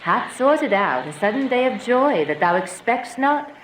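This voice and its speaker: A woman's voice: fairly high pitch, sing-song, a little slow. An actress playing a stern, cold middle-aged noblewoman and mother, formal and imperious, in a Shakespearean radio drama. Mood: brisk, pleased. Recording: echoey room, faint background noise.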